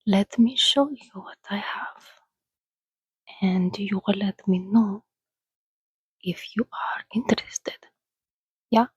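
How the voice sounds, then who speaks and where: A woman voicing an ancient female vampire in a soft-spoken, breathy ASMR roleplay, coaxing and gently teasing as she hosts a listener. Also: mid-range voice, no echo, dull audio. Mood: soothing, inviting. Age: over a thousand years old, as played. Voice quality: breathy, whispery. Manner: slow, coaxing, conversational with pauses.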